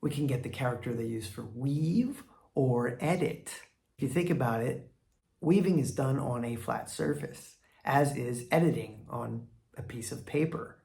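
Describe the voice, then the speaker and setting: A man's voice: medium pitch, unhurried, creaky, a little mellow, slightly rough, dry recording. A man, middle-aged, hosting a casual, chatty vlog that teaches language with rambling asides. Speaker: video host teaching Chinese characters.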